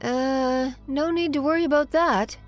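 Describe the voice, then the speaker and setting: Woman acting sarcastic lady ghost hunter, higher voice, no nonsense, audio drama. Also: measured pace, faint music behind. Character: plain-spoken, dryly sarcastic woman investigator.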